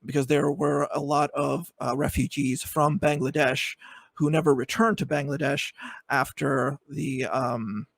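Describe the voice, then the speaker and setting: A man's voice: on the high side, steady tone, unhurried, vocal fry, a bit bright, no room sound. A man debating on a video call, hesitant with many fillers but building into pointed arguments. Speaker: debate guest. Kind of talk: online debate.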